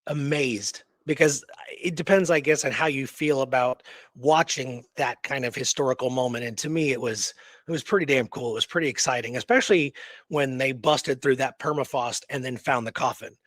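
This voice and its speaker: A man co-hosting a radio show, talking casually and excitedly in an informal, rambling way. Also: mid-range voice, moderate pace, dry recording. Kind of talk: casual enthusiastic discussion.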